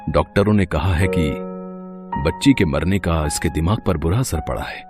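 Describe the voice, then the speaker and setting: A man's voice: deep voice, normal speed, loud music behind the voice, natural voice. A man playing a wealthy, grieving father and husband who speaks in an earnest, pleading and dramatic way in an audio story. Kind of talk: acted dialogue in a Hindi audio moral story.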